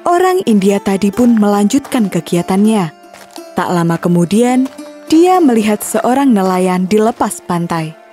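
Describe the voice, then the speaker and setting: A woman's voice: medium pitch, sing-song, a little slow, warm tone, faint music behind. A woman narrating a scripted children's story.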